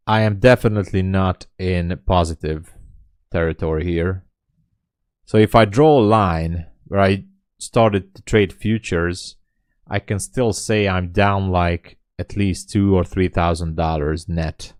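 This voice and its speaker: A man's voice: sits low, steady tone, takes it slowly, darkish tone, smooth, no echo, studio quality. A man in his late thirties, a day trader chatting casually on his live stream and answering viewer comments with a candid, self-deprecating manner. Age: 30s. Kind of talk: casual livestream chat.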